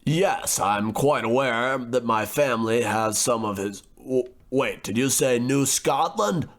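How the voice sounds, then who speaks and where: A man acting a well-to-do, pompous, easily exasperated gentleman in an old-time radio horror comedy, formal and fussy. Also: mid-range voice, a little slow, close to an everyday voice. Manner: formal, fussy, hesitant stammering when rattled.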